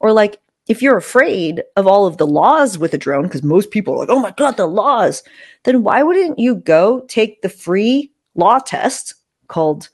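A woman with a mid-pitched voice hosts a podcast in a casual, informal way. She slips into quoted asides as she explains things, speaking into a close desktop microphone. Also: very animated, unhurried, breathy edge. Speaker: podcast host interviewer. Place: indoor room on a video call. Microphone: close desktop mic with furry windscreen.

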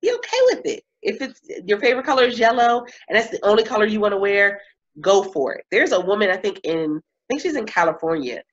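A woman in her thirties, a coach and speaker, chatting in an interview over a video call. She talks in an animated way, laughs often and stretches words for emphasis. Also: mid-range voice, fast talker. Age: 30s. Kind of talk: casual interview chat. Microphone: webcam on video call. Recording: slightly muffled video-call audio.

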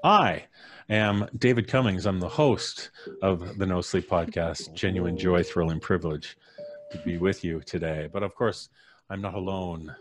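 A man's voice: sits low, unhurried, crowd noise. A middle-aged man with a North American accent hosting a live stream and introducing guests with effusive, showman-like flourish. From Canada. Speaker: podcast host. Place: small room, indoors. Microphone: studio microphone on boom arm, headphones.

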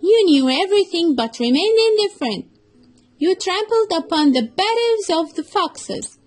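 Woman voicing a high-pitched cunning storybook fox. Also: lively intonation, moderate pace. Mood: scornful. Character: cunning fox, smooth flatterer turned scornful accuser. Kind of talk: children's storybook reading.

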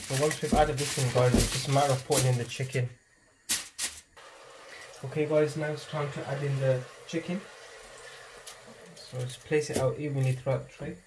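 A man hosting a home cooking channel, talking viewers through a recipe step by step in a steady, instructive way from his kitchen. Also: medium pitch, moderate pace, long pauses, noisy background. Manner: steady, instructive, often addresses viewers as guys.

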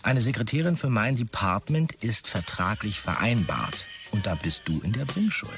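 An actor playing a self-important, pushy and sleazy young dot-com manager in a radio drama, with officious, jargon-heavy delivery. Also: male voice, fairly low pitch, big pitch swings, moderate pace, a bit bright, faint music behind. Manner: officious business jargon, heavy English buzzwords. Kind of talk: radio drama, characters reading out e-mails.

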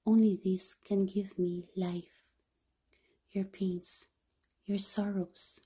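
Brief: woman reading a mourning mother, slow, breathy, half-whispered. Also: medium pitch, flat delivery. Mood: sorrowful. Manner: soft half-whispered lament. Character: grieving mother mourning her dead son.

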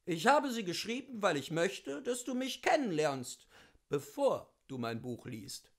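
A man giving an expressive, theatrical reading of a literary memoir, with deliberate phrasing and emphatic pauses. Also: sits high. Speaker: reader of literature.

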